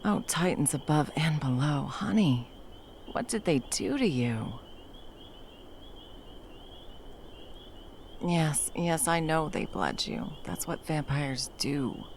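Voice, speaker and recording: A woman playing a gravelly, centuries-old monster hunter. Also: low voice, lively intonation, unhurried, a little hiss or hum. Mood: angry, protective. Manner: blunt, swears heavily, softens into tender murmurs. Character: centuries-old female monster hunter, fierce, guilt-ridden. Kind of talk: ASMR roleplay.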